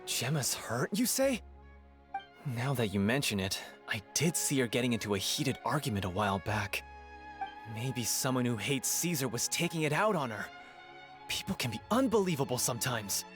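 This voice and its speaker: Sympathetic male townsperson, acted by a man for a game, expressive intonation, mid pitch, over music. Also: normal speed, lightly acted. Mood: concerned. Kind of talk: video game voice acting.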